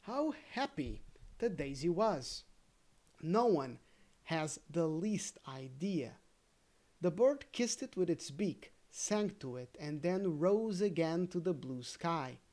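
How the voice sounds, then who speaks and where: A storybook reader telling a fairy tale in a careful, gently expressive way, with casual asides. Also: mid-range voice, takes it slowly.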